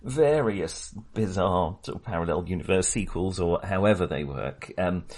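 A man's voice: sits low, lively intonation, moderate pace, darkish tone. A man co-hosting a film podcast in casual discussion, talking hesitantly, often interrupting himself and rambling.

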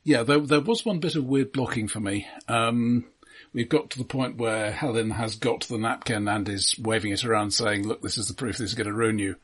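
A man co-hosting a casual, analytical film discussion, reasoning through his points in a measured way. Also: medium pitch, fairly bright tone, slightly rough. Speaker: podcast co-host.

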